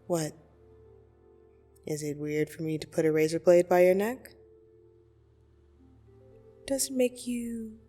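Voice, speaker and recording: A woman playing a blunt, teasing yet soothing dream demon in an ASMR roleplay, speaking deliberately and intimately with gentle condescension. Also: lowish voice, breathy edge. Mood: teasing menace. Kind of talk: ASMR roleplay audio drama. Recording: soft music behind the voice. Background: soft meditation music.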